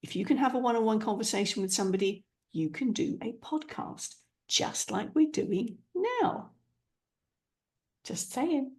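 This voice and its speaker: A woman's voice: a little high, very animated, a little slow, darkish tone. A woman hosting a podcast, chatty and encouraging, with playful asides to listeners. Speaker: podcast host. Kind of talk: upbeat podcast intro.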